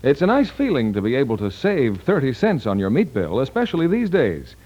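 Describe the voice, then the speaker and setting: A man's voice: mid-range voice, huge pitch swings, brisk, dark tone, highs cut off, slight background noise. An American man reading scripted announcements as a 1940s radio announcer. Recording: band-limited 1940s radio broadcast recording.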